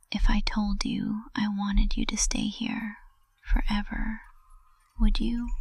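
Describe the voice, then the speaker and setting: A woman's voice: medium pitch, no echo, heavily acted. A woman playing a composed witch professor turned tender and possessive, whispering intimately in an ASMR roleplay, close to the microphone. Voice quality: breathy whisper. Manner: slow, hushed, intimate delivery.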